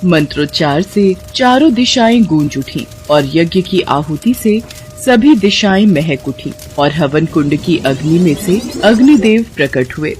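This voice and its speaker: Animated woman narrating a devotional story. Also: on the low side, a little slow, soft background music.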